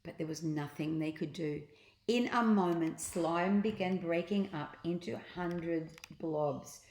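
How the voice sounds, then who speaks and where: A woman reading a children's storybook aloud as an expressive narrator, with deliberate dramatic emphasis. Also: fairly low pitch. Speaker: storybook reader. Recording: echoey room.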